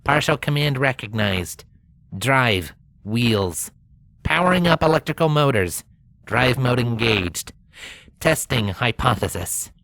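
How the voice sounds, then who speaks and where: A woman's voice: rumbling low, animated, slow pace, vocal fry, a bit bright, no echo, exaggerated voice. A woman voicing a small, methodical robot rover in a storybook reading, clipped and procedural.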